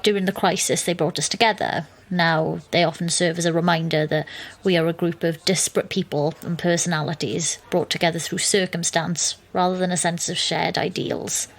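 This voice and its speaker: A woman's voice: fairly low pitch, fairly even intonation, normal speed. An actress playing an exasperated, wry young woman, narrating and talking in an audio drama. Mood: wry explaining. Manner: dry, sarcastic.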